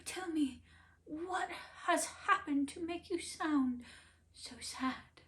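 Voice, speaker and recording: An old male donkey from a storybook speaks in an earnest, hopeful way, pleading and eager. A woman puts him on. His voice sits fairly high and unhurried. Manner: pleading, earnest, fond of singing.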